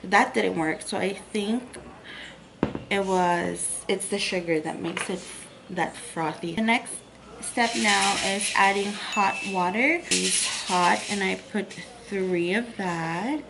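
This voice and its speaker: A woman in her thirties chatting casually through a home cooking and hacks vlog, upbeat and relaxed. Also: mid-range voice, takes it slowly, creaky, very noisy. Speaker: lifestyle vlogger. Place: home bedroom and kitchen.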